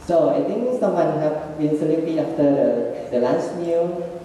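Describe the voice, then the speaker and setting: A man walks an audience through his slides in halting, non-native English, and his grammar often breaks down. His voice is dark and mellow. He is picked up from a distance in a big, echoing hall. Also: fairly high pitch, animated, a little hiss or hum. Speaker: student presenter. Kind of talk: slide presentation. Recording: echoey hall, far from mic.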